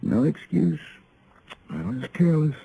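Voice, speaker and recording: An actor playing a cool, wry, self-possessed gentleman gunfighter in a 1950s radio western, speaking in a dry, laconic way. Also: on the high side, big pitch swings, unhurried, vocal fry, exaggerated voice. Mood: groggy, rueful. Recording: noisy vintage radio recording.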